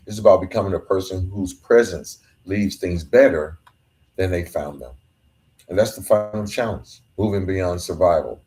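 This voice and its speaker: Male podcast host, low voice, emphatic repeated-phrase motivational delivery. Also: mellow. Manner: emphatic, repetitive preaching cadence. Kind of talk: motivational monologue.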